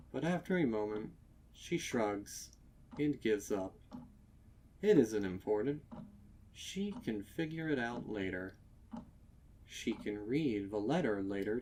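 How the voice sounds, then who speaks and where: A man reading a scripted horror story as narrator, with deliberate, dramatic delivery. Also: on the low side, darkish tone, slight background noise. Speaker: narrator of fan fiction readings.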